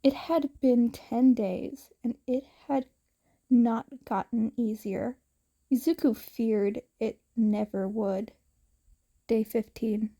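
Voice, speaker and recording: A woman reading fiction aloud as an expressive story narrator. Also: fairly high pitch, slow pace. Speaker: podfic narrator.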